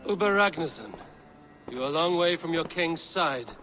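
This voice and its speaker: A stern Viking warrior leader in a video game. He sounds measured and sure of himself, with grave weight in his words. Music plays clearly behind him. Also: a little high, animated, bright, forceful tone.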